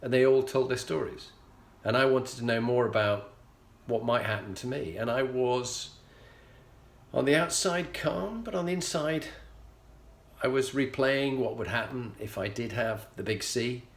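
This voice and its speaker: A middle-aged man with a Yorkshire accent, telling a personal story as a show host in an earnest, measured way with frequent pauses. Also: medium pitch. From England. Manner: earnest, measured, pausing often. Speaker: leadership coach and show host. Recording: echoey room.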